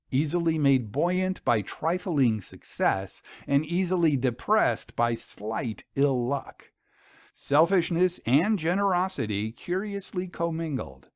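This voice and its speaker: A man narrating a first-person story in a measured, formal, old-fashioned manner. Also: medium pitch, a little mellow, no echo, studio quality.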